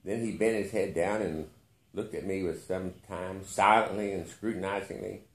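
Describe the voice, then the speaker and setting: A man reading a literary short story aloud with expressive narration. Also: low-pitched, normal speed, reverberant. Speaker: story reader.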